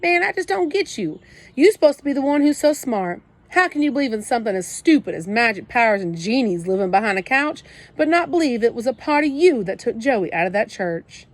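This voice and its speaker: Gruff streetwise teenage brother, blunt slang, scolding but protective, voiced by a woman; storybook audiobook. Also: on the high side, animated, a little fast, voice pushed up. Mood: gruff comforting.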